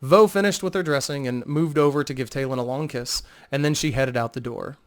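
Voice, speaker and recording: A man's voice: mid-range voice, fairly quick. A man narrating a fantasy tale as a dramatic scripted audiobook reading.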